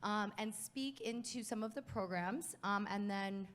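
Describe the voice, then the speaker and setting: A woman's voice: medium pitch, a little slow, a bit bright. A woman moderating a live conference panel, upbeat and emphatic in her delivery.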